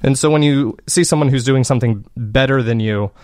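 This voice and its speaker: A man with a medium-pitched voice talks as a guest in an interview. He explains things in an earnest, reflective way, conversational and unscripted. The sound is dry, with very little room in it. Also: brisk, a little mellow, dry recording. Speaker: guest, fiction podcast creator.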